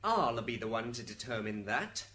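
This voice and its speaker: A man's voice: medium pitch, big pitch swings, normal speed, bright, forceful tone, a little hiss or hum. A man playing a curt, impatient judge of heaven in an amateur radio drama. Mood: curt, weary. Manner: commanding, curt.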